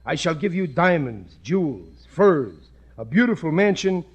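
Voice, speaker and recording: A man's voice: a little high, very animated, unhurried, gravelly, breathy edge, muffled recording, full character voice. A man playing a blustery, suspicious working-class father in a 1950s radio comedy, talking in a colloquial, earnestly dim-witted way. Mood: earnest reading aloud.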